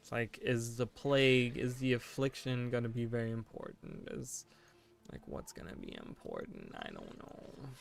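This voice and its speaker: A young man in his late twenties talks loosely while he plays a game on stream, his pitch sitting in an ordinary middle range. He wanders from thought to thought, fills the gaps with "like" and "you know", and corrects himself mid-sentence. A faint background hum runs under his voice. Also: sing-song, moderate pace, creaky. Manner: rambling, full of "like" and "you know"; thinking aloud, self-correcting. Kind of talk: casual chat while gaming.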